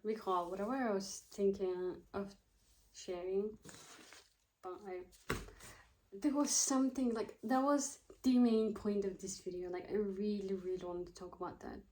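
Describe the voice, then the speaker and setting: A woman's voice: medium pitch, a little mellow, a little hiss or hum, poor audio. Young woman, casual vlog chat, rambling, thinks aloud, mid-range voice. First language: not Russian. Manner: rambling, thinking aloud, loses her train of thought. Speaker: student vlogger.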